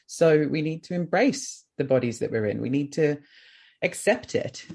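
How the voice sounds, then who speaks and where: A man's voice: fairly high pitch, animated, moderate pace, gravelly, a little mellow. A man hosting a podcast in casual chat. He has a light voice that is not very masculine and talks openly and reflectively, rambling with fillers. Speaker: podcast host.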